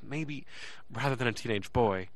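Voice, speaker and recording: Guy playing anxious teen boy telling his story in an audio drama, halting, middling pitch. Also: close to an everyday voice. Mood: reflective, uneasy. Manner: halting, hesitant, repeats words. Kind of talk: first-person audio drama narration and dialogue.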